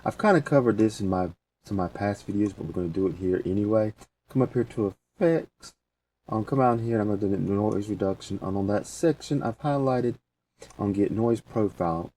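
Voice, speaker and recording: A man presenting a casual software tutorial, talking through each step as he goes in informal, folksy phrasing. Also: lowish voice. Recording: distant mic with faint background noise.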